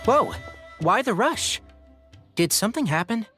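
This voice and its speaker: A man's voice: sits high, very animated, a little slow, over music. An actor voicing a thoughtful, composed young male scholar in a video game, articulate and earnest. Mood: concerned.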